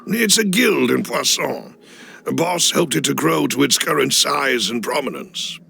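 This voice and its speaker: A man playing a loyal, cautious butler in a video game cutscene, speaking in a formal, measured, deferential way with a gravelly voice. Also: mid-range voice, lively intonation, pushed and bright, a little hiss or hum, heavily acted. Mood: measured, analytical. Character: loyal, cautious male butler of a crime boss family. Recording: clean studio voice over music. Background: background music.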